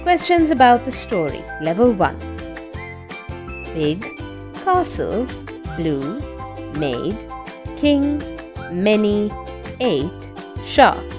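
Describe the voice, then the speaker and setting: A woman reading comprehension questions for children in a measured, instructional way. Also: mid-range voice, big pitch swings, music in the background. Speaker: quiz presenter.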